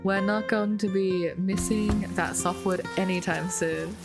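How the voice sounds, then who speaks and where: A woman with a slightly hoarse voice, chatting casually through her gameplay with light puns and frequent ums. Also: medium pitch, steady tone, unhurried. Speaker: gaming video creator. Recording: loud game music with rain sounds behind.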